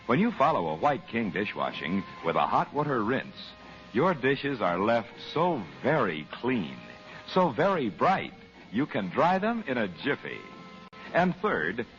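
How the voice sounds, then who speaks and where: A man with an American accent announcing and narrating a 1940s radio serial in a theatrical, deliberate scripted style. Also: on the low side, big pitch swings, fairly bright tone. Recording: muffled 1940s radio broadcast. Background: music.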